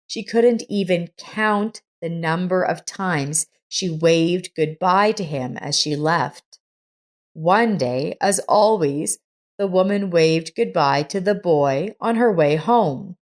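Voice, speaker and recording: A woman reading a scripted story in a measured storytelling manner. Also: lowish voice. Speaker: story narrator. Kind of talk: scripted audiobook-style narration.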